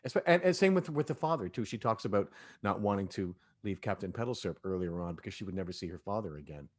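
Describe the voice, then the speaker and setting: A man speaking as an audio drama director in a casual cast discussion, telling things in an animated way. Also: on the low side, quick pace.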